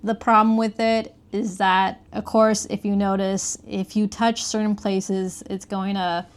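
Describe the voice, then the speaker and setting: A woman's voice: medium pitch, level tone, measured pace, clear, resonant tone. A woman giving a casual, conversational product review, explaining things as she goes.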